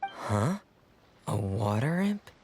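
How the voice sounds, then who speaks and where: A man's voice: lowish voice, big pitch swings, slow, darkish tone, slight background noise. A man voicing a shy, reserved teenage boy in a video game, speaking hesitantly and politely. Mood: surprised, apologetic. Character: Freminet, shy, reserved, soft-spoken teenage boy diver.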